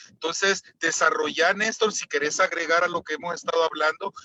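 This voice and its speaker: A man's voice: fairly high pitch, bright, forceful tone, slight background noise. A man hosting a talk show, asking long rambling questions that pile up topics. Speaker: show host. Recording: slightly dull lo-fi sound.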